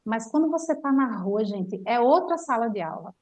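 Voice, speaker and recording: A woman's voice: fairly high pitch, normal speed. Chatty Brazilian woman telling a story. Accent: Brazilian Portuguese. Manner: animated storyteller, chatty with many fillers. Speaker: language teacher. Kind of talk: casual chat.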